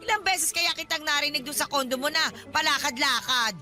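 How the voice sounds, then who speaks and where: A shrill, wheedling old Filipina godmother. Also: fairly quick, sharp tone, faint music behind. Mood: indignant, accusing. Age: older. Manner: wheedling, dramatic, reproachful. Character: elderly, nosy, guilt-tripping, money-grasping Filipina godmother. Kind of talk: radio drama acting.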